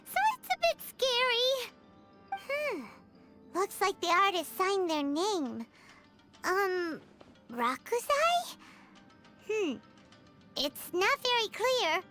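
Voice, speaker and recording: A woman voicing a small, excitable female companion creature in a video game who talks about herself in the third person. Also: very high voice, takes it slowly, long pauses, soft background music, full character voice. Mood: nervous.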